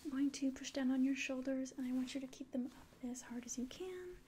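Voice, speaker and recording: A woman in her twenties speaks in a soft, breathy whisper, high and mellow. She gently guides the listener through an ASMR exam roleplay at close range. A faint hiss of room noise sits behind her. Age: 20s. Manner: gentle, slow, instructing. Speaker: ASMR performer playing an alien doctor giving an exam. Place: small room indoors.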